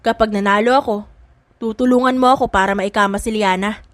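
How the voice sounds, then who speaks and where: A woman's voice: medium pitch, steady tone, brisk, dry recording, close to an everyday voice. A woman voicing a crude, smirking young male bully in an audiobook drama, taunting as he speaks.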